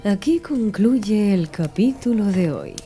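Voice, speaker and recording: A woman narrating a literary audiobook from a script, with measured, deliberate phrasing. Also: medium pitch, expressive, warm tone. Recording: music behind the voice. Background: music.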